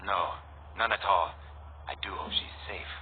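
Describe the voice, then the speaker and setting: An actor playing a discreet, concerned resistance informant in a medieval video game, speaking deliberately. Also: male voice, low voice. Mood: grave, concerned. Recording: tinny narrow band, faint noise.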